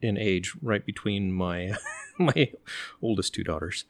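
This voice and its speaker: A middle-aged man from the United States, telling stories in an unhurried, thoughtful way and pausing to find his words. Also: sits low, dry recording. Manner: unhurried, thoughtful, often pauses to search for words. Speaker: interview guest. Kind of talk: relaxed conversational storytelling.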